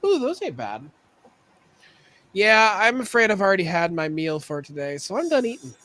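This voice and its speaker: A man's voice: sits high, huge pitch swings, bright, forceful tone. Chatty man with a slightly raised voice. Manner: rambling, joking, quick to laugh. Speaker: podcast co-host. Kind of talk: casual chat.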